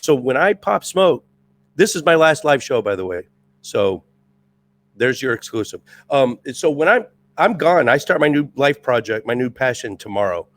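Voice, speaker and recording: A middle-aged man with a raspy voice from years as a drill instructor, talking casually as a podcast guest in an intense, amped-up way. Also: medium pitch, sing-song, moderate pace. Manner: intense, amped-up, restless talker. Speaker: podcast guest, retired Marine first sergeant. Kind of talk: casual chat.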